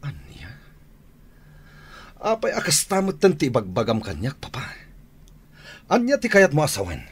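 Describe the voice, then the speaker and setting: Volatile gangster son, noisy recording. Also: male voice, medium pitch, huge pitch swings, normal speed, long pauses. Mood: defensive. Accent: Ilocano. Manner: indignant, explosive. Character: hot-tempered, defensive gangster son. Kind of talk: Philippine radio drama acting.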